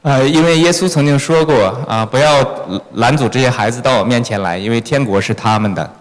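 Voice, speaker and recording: The man standing beside a preacher in a big echoing church, relaying each phrase to the congregation in a mid-pitched voice. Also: fairly quick. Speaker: church sermon interpreter. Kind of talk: consecutive interpreting of a sermon. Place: large reverberant church hall. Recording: echoey hall with long reverb.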